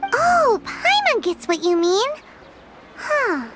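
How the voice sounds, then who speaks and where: A woman voicing a tiny, bubbly, boastful childlike companion in a video game, squeaky and perky with exaggerated delivery. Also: huge pitch swings, slow pace, over loud music, exaggerated voice. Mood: admiring, surprised. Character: tiny bubbly, boastful childlike companion who self-names in third person.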